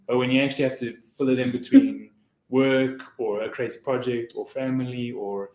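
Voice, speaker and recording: A man's voice: mid-range voice, moderate pace, a little mellow. Man, echoey room. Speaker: webinar panelist. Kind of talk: informal panel discussion. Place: indoor meeting room. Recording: roomy, echoey meeting room.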